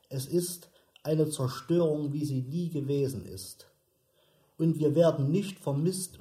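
Slow, higher-voiced man reciting in echo. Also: dark tone. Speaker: reader of poetry. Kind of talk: literary poetry recitation in a radio sound composition.

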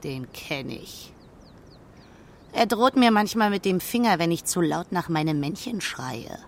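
A woman's voice: fairly low pitch, animated, measured pace, a little hiss or hum. A woman playing a haughty, aloof female hawk in a children's radio play, speaking in a brusque, proud way. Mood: proud, boasting.

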